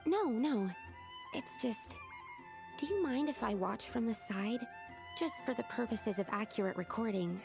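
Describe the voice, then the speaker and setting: A woman's voice: fairly high pitch, vocal fry. A woman playing an earnest, hesitant young female researcher in a video game, speaking in a precise, tentative, scholarly way.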